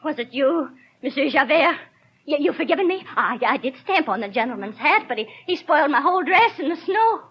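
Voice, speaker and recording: A high-pitched, frightened young mother in an old radio drama. She is destitute and ailing, pleading in halting phrases. The recording is dull and dated. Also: big pitch swings. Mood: frightened, defiant. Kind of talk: 1930s radio drama acting.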